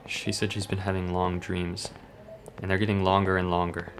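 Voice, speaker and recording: A man playing a brooding, obsessive doctor in a horror manga dub, speaking in a grave, detached, gravelly way. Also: sits low, flat delivery, normal speed, slight background noise, natural voice. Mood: coldly intense. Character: brooding, obsessive male doctor, coldly rationalizing human experiments.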